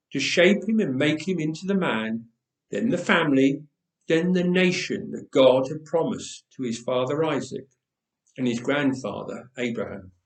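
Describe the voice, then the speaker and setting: A man's voice: fairly high pitch, far from the mic. Man storytelling dramatically in an echoey church. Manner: expressive, with dramatic pauses. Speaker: storyteller, reader. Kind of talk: dramatic read-aloud storytelling. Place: church, indoors.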